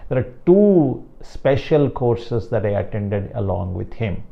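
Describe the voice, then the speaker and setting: An older man from South India with a Tamil-accented English, a meditation teacher speaking slowly and deliberately about his guru. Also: medium pitch, big pitch swings, vocal fry, warm tone, highs cut off. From Chennai, South India. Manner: slow, deliberate, emphatic on key words. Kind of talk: reflective talk to camera.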